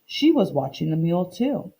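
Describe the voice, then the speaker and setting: A woman reads a picture book aloud as a teacher would for children. Her voice is mellow, with animated intonation and a deliberate, expressive delivery. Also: lowish voice, low-quality recording. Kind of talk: read-aloud lesson for children, storybook narration.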